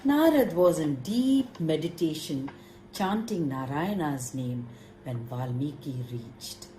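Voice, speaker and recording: A woman narrating a story slowly and reverently. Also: low-pitched, animated, mellow, slight background noise. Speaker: narrator and chanter. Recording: roomy recording with music.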